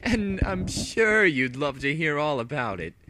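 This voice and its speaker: A man's voice: fairly high pitch, big pitch swings, measured pace, bright, forceful tone, slight background noise, pitched above the performer's own voice, full character voice. A man voicing an insane female pony villain princess in a story reading, gloating and cooing with theatrical menace.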